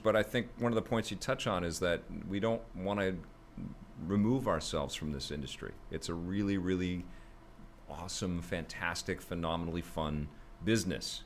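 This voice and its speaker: A man's voice: deep voice, a little hiss or hum. A man hosting a casual podcast, easygoing and agreeable, leading the talk and asking questions. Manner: easygoing, agreeable, quick to laugh along. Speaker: podcast host.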